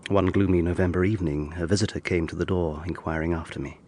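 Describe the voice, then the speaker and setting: An actor plays a troubled young gentleman in a period radio drama. His deep voice is restrained, formal and melancholy. There is slight ambient noise behind it. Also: fairly even intonation, quick pace, lightly acted. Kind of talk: first-person radio drama narration and dialogue.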